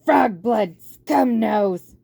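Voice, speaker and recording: A woman's voice: fairly high pitch, lively intonation, extremely slow, vocal fry, dark tone, husky, dry recording, full character voice. A woman voicing a villainous rat warlord in a storybook, curt, commanding and menacing as he orders his horde about. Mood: harsh, commanding.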